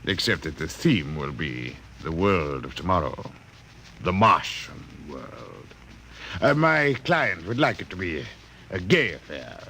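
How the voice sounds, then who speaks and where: A man's voice: deep voice, sing-song, measured pace, sharp tone, full character voice. An actor playing a mysterious, coolly sinister client in a mid-century radio drama, speaking formally and precisely, savoring his words. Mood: commanding. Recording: noisy old radio broadcast recording.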